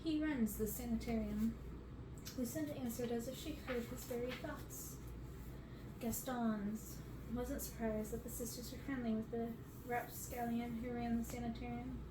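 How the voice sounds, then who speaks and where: A woman in her twenties reads a storybook aloud in a fairly high voice. She is recorded in a bedroom from a little way off, with roomy echo and clear noise. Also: little pitch movement, normal speed. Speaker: ASMR reader. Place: bedroom, on a bed. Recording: roomy, noisy, distant mic.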